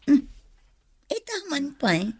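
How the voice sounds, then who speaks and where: Radio drama co-worker: woman playing a frank, skeptical female friend, Ilocano accent, expressive swings in pitch. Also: slow pace, no echo, clean studio sound, full character voice. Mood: brisk, chiding.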